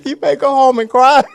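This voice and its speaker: A man's voice: very high voice, creaky. An older man speaking off the cuff as a panelist, driving points home with short, repeated phrases like a preacher. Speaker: panelist, pastor. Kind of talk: unscripted motivational panel talk.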